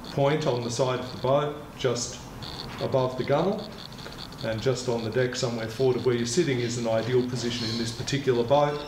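A man in his sixties giving a measured, methodical product demonstration as a kayak retailer, speaking in an indoor shop. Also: mid-range voice. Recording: echoey room with clear background noise.

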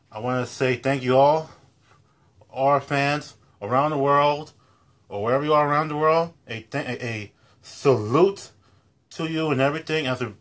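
A man's voice: mid-range voice, a bit bright. Young man, cooking show host, casual talk to camera, emphatic catchphrases, home kitchen, camera mic. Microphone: built-in camera mic at close range.

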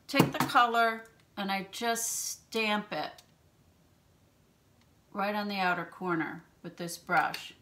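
Bright-voiced woman doing a makeup tutorial. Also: mid-range voice, slight background noise. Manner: gentle, chatty step-by-step explaining with fillers. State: allergies, clearing throat. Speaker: beauty channel host. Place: small home room with shelves, indoors.

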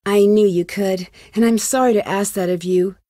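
A woman's voice: medium pitch, fairly even intonation, normal speed, dark tone, no room sound, clean studio sound, close to an everyday voice. An actress playing an earnest, determined young female amateur detective in conversational video game dialogue. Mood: troubled, sincere. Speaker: lead character in a detective adventure game.

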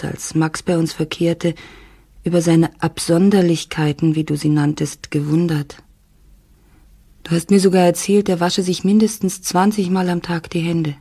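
A woman's voice: lowish voice, mellow, breathy edge. A woman playing a worried wife, reading her letter to her sister in an intimate, reflective audio-drama style. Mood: worried, confiding. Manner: reflective, confiding.

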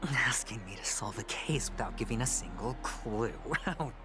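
An actor playing a suave, smug, reckless young gambler in a video game, teasing and theatrical. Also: male voice, medium pitch, lively intonation, normal speed, over loud music, close to an everyday voice. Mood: amused, sly.

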